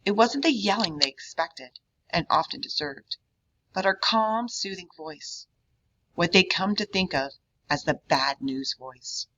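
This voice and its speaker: A woman reading a children's book aloud in an expressive manner. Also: on the low side, measured pace, a little hiss or hum, rough recording. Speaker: read-aloud reader.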